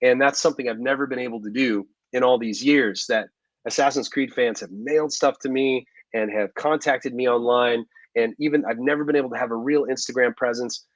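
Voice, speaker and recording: A man's voice: mid-range voice, steady tone, fairly quick, no room sound. Man, thirties, chatty and rambling, dry muffled video call audio. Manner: chatty, rambling, many ums and restarts. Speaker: actor guest. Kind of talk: casual interview over video call. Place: living room at home. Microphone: video call microphone.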